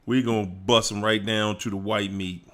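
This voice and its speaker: A man's voice: fairly low pitch, little pitch movement, normal speed, fairly bright tone. A man hosting a casual livestream, greeting viewers by name in a playful, hyped-up way and singing little snippets. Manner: playful, hypes up chat, sings snippets and laughs. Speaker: livestream host.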